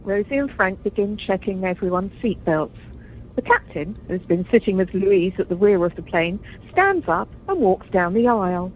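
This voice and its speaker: A woman's voice: medium pitch, animated, normal speed, close to an everyday voice. A woman acting as narrator, reading scripted action for an amateur comedy radio play. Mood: descriptive. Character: female narrator describing the action. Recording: muffled conference call, faint noise.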